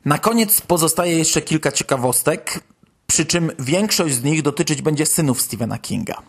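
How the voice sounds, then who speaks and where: A man's voice: fairly high pitch, animated, moderate pace, a little hiss or hum. A man hosting a podcast, presenting news with informal personal commentary. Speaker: podcast host.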